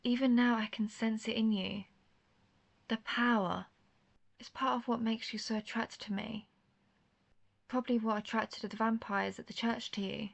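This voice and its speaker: A woman's voice: a little high, fairly even intonation, moderate pace, gravelly, pauses often. A woman playing an intense, guarded vampire hunter, herself a vampire, in a scripted roleplay audio drama, speaking earnestly and formally straight to the listener. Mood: grave, revealing. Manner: earnest, formal phrasing, addressing the listener directly.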